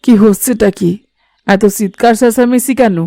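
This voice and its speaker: A woman's voice: fairly high pitch, steady tone, normal speed, creaky, warm tone. A woman voicing a demanding older mother-in-law in a cartoon, complaining and imperious. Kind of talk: cartoon family drama acting.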